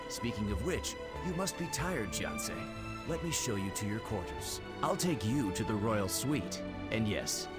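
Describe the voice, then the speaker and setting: Hearty game general, jovial, teasing, courteous, lively intonation, loud orchestral backing. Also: male voice, fairly low pitch. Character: hearty genial male general escorting an honored guest. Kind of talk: video game cutscene acting. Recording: loud orchestral music behind.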